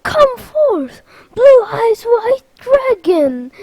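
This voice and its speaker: A woman voicing a brash boy hero who declaims loudly and dramatically, drawing out the words in a comic retelling. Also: very high-pitched, sing-song, dry recording, voice pushed up, full character voice. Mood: triumphant. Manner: loud, drawn-out dramatic declaiming. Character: boy hero, brash, bellowing summoning chants.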